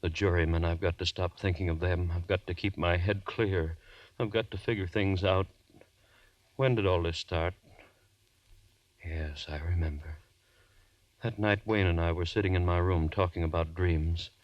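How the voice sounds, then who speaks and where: A man's voice: low-pitched, fairly even intonation, normal speed, long pauses, no echo, natural voice. An actor playing a brooding accused murderer voicing his anguished thoughts, in dramatic 1940s radio style. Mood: agitated. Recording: dull old 1940s radio recording.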